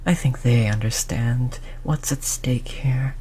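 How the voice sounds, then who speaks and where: A performer of unclear sex playing a cold, condescending researcher who disciplines a captive test subject in a measured, mock-polite way, in an audio roleplay. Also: medium pitch, mellow, a little hiss or hum, close to an everyday voice. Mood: patronizing, exasperated. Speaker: scientist running human experiments. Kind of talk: scripted audio roleplay drama.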